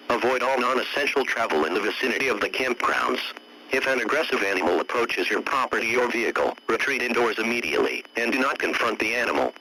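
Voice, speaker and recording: A man delivering a formal scripted emergency alert in a measured, official, instructive way. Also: mid-range voice, sharp tone, a little hiss or hum, poor audio.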